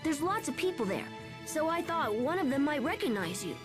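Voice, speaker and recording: A woman's voice: high voice, brisk. A woman playing a brash, headstrong young boy with a caring big-brother streak in a video game dub. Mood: excited, surprised. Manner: casual, lively, impulsive. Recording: music under the voice.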